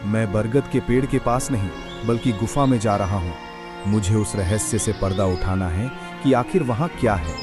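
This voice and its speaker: A man's voice: fairly low pitch, normal speed, close to an everyday voice. A man playing a clever, determined old village man in a children's story, firm and resolute. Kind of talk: acted dialogue in a children's moral story. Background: music.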